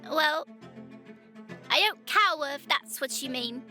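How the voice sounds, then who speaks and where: A woman's voice: high-pitched, expressive, slow pace, music in the background. A woman voicing a fiery, stubborn young girl in an audio drama, defiant and curt.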